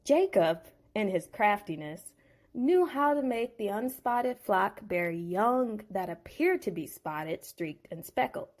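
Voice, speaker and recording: A woman's voice: medium pitch, a little mellow. Playful woman retelling a bible story. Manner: playful, chatty asides.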